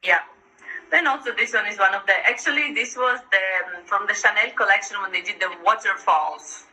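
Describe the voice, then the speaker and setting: Very bright-voiced, enthusiastic woman. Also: medium pitch, brisk, slight background noise, rough recording. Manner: gushing, enthusiastic. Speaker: guest showing her fashion collection. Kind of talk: casual chat, show-and-tell. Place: online live video call.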